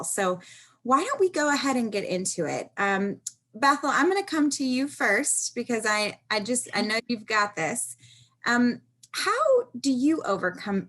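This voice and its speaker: A woman's voice: mid-range voice, a bit bright. A woman speaking as host of an online panel discussion. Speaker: host, executive director.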